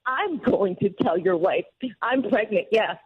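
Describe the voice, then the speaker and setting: A woman's voice: medium pitch, animated, measured pace, a little hiss or hum. A woman in a confrontational phone call, insistent and repeating herself. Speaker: caller confronting her lover.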